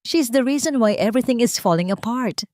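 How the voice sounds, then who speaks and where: A woman's voice: on the high side, animated, brisk, a little mellow, dry recording, clean studio sound, voice pushed up, lightly acted. A woman playing a scheming, dramatic rich wife. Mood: desperate pleading. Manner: mocking, dramatic. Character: scheming, pleading, spiteful wealthy wife.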